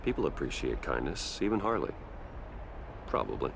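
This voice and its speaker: An actor playing a guarded, level-headed, brooding billionaire hero in a video game, speaking in a measured, careful, earnest way. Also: male voice, very deep voice, sing-song, gravelly, background noise, full character voice. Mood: reassuring.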